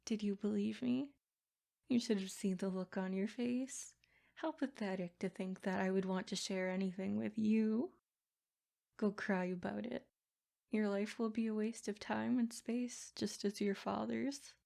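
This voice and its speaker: A woman's voice: medium pitch, steady tone, measured pace, vocal fry, dark tone. A woman voicing a sadistic, possessive princess in an ASMR roleplay, speaking in formal, haughty phrases with silky menace. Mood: mocking, cruel. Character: sadistic, possessive yandere princess, smug captor. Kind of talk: ASMR roleplay, scripted character monologue.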